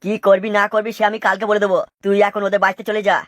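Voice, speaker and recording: A woman's voice: medium pitch, flat delivery, very fast, no echo, studio quality, natural voice. A woman voicing a bossy, crude, scheming male mason in a comic cartoon. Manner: crude, nagging, commanding.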